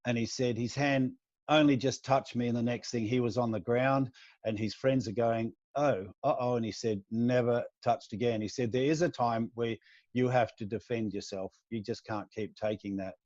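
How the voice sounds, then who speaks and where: A man's voice: medium pitch, a little mellow, no room sound, dull audio. A man hosting a podcast, recounting a story at length and acting out the quoted lines. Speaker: podcast host. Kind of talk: casual interview conversation.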